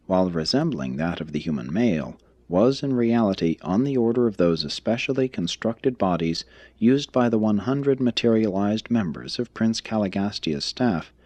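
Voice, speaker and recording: A man reading a religious text aloud as scripted narration, in a formal, measured, expository manner. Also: on the low side, darkish tone, no echo. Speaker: audiobook reader.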